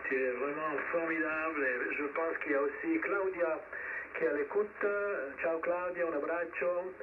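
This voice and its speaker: A man running an amateur radio net over shortwave, speaking in short, encouraging phrases with pauses. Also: on the high side, recorded from a distance. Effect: shortwave radio transmission. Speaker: net controller of an amateur radio round table. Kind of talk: ham radio net hosting. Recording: narrow, noisy shortwave radio reception. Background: radio static.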